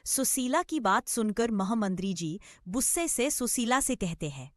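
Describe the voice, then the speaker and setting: A woman's voice: a little high, lively intonation, measured pace, no echo. A woman narrating a scripted story.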